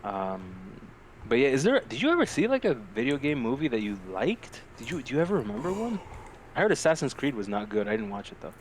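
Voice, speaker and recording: A young man co-hosting a podcast in a casual, animated, rambling and sweary chat about films. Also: medium pitch, fast. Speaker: podcast co-host. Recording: clearly noisy amateur podcast audio.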